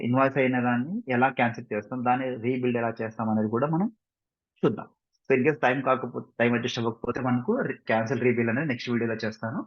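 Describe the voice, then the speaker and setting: Husky Telugu Indian man teaching, muffled audio. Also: mid-range voice. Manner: repeatedly checks understanding with short tag questions. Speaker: software instructor. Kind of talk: step-by-step screen tutorial, mixing in English. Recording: muffled lo-fi mic, roomy echo.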